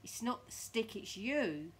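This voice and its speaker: A nasty, scornful husband from a children's storybook, acted out by a woman. The delivery is loud, emphatic and taunting, with a very lively pitch. Some background noise sits on the recording. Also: measured pace. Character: nasty gloating bullying husband, a horrid man.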